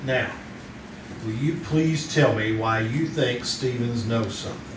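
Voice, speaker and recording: A man's voice: medium pitch, measured pace, close to an everyday voice. Man acting a skeptical wisecracking crime photographer, roomy stage. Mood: businesslike. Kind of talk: old-time radio drama acting. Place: community theater stage. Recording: roomy, noisy, distant live stage recording.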